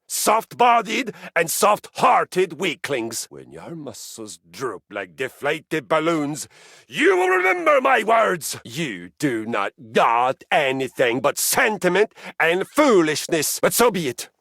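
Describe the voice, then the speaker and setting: An actor playing a boastful, brutal Viking-like fitness object in a video game, grandiose and formal, with a gravelly, bellowing voice. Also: male voice, fairly high pitch, wildly swooping pitch, slow, fairly bright tone, no room sound, full character voice. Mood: bellowing warlike. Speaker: dating game character. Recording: dry studio recording.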